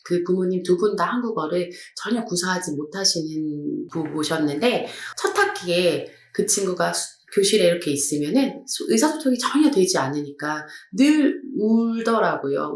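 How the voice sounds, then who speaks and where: A woman's voice: on the low side, normal speed, hardly any pauses, echoey room. A woman hosting an educational discussion, telling stories in a conversational way. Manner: conversational, animated storytelling. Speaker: host.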